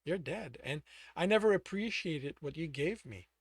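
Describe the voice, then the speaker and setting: A man voicing a broken young man, pleading softly. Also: a little high, lively intonation, normal speed, husky, dry recording.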